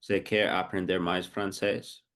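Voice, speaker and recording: Male host-teacher, lower register, patient simple questions. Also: flat delivery, a bit bright. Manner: slow, simple questions for a learner. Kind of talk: casual chat and oral interview.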